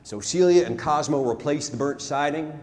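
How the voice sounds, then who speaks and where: A man recites rhyming narrative verse on stage as a storyteller, dramatic and deliberate, swelling and then hushing his phrases. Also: on the high side. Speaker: storyteller performing a narrative poem. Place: large theatre, live stage performance. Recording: live theatre stage, long reverb, noisy.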